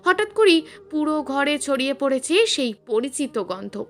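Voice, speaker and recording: A woman's voice: sits high, sing-song, normal speed, soft background music. A woman narrating a scripted suspense story in a dramatic, emphatic storytelling manner.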